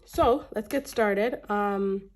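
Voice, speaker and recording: A woman in her thirties talks to her camera in an easy, rambling vlog style, starting sentences over and filling gaps with little ums. Her voice is slightly raspy and congested from a lingering cold. Her pitch sits at an ordinary middle height. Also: takes it slowly. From Canada. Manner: chatty, rambling, frequent restarts and ums. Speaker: budgeting vlogger. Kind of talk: casual chat to camera.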